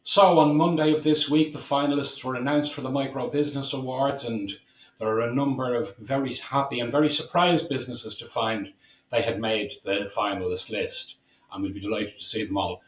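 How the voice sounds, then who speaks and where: A man's voice: mid-range voice, a bit bright. A man hosting a chatty interview show, presenting announcements and putting questions to a guest. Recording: echoey room sound.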